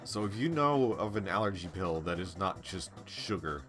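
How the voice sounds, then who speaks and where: A man streaming a game, chatting casually with a stuffy, congested nasal voice and a rambling, relaxed way of talking. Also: sits low, moderate pace, soft background music. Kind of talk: casual chat while playing a game on a live stream.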